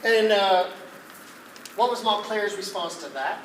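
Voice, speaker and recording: A man's voice: high voice, measured pace, a bit bright. A man on stage playing a doubtful, questioning actor in a comedic play about a rehearsal. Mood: skeptical. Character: skeptical actor questioning an absurd rehearsal script. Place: live stage at a one-act play festival. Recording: roomy stage sound with noise.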